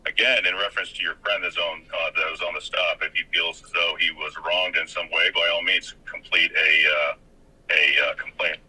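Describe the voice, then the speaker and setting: An American man speaking as a police lieutenant on an official phone call, hesitant and repetitive but formally polite, with a husky voice. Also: deep voice, fairly even intonation, normal speed, sharp tone.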